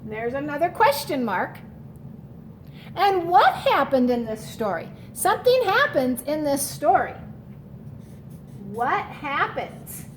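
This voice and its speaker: Slow, high-pitched woman teaching children. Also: a little hiss or hum, rough recording. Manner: deliberate, instructional, repeats key words and spells them out. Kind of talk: children's read-aloud lesson.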